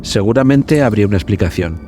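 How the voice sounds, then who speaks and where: A man narrates a horror tale in a low, dark, mellow voice. His storytelling is measured and dramatic, as in a scripted suspense podcast, with music underneath. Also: expressive. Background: background music.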